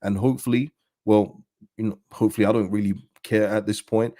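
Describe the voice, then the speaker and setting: A man with a slightly husky voice, hosting a casual football fan commentary. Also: lowish voice, fairly even intonation, a little fast, mellow, no echo, studio quality. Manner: chatty, rambling, with frequent restarts and laughs. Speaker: football channel host.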